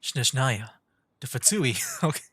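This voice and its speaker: A man's voice: mid-range voice, animated, measured pace, bright, forceful tone, breathy edge, no echo. A man casually commenting on a game story as he streams, thinking aloud with sarcastic asides.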